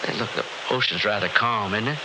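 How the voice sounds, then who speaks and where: An actor playing a mature, hearty, teasing Texas businessman in a 1960s radio drama. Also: fairly low pitch. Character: mature, breezy Texas businessman, impatient with high society. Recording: noisy 1960s radio recording.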